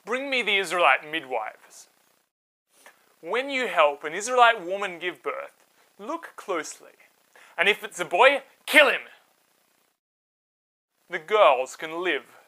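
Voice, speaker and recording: A man's voice: high voice, sing-song, pushed and bright, exaggerated voice, rough recording. A man voicing a ruthless, alarmed king of Egypt for a children's story, imperious and emphatic as he gives orders. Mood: menacing. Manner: imperious, slow emphatic decrees.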